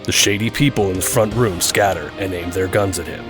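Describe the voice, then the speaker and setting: A man's voice: low voice, brisk. A man reading scripted narration as the narrator of an audio drama. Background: music.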